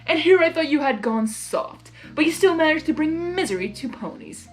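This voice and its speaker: A woman puts on a wicked mirror spirit from a storybook. It taunts gleefully in a high, animated, gloating voice. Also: moderate pace, soft background music. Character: gloating, mocking evil mirror reflection spirit.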